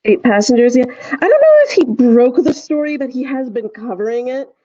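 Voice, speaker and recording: A woman co-hosting a podcast in casual chat, telling things in a rambling, halting way. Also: high voice, big pitch swings, mellow, dry recording, muffled recording. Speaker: podcast co-host.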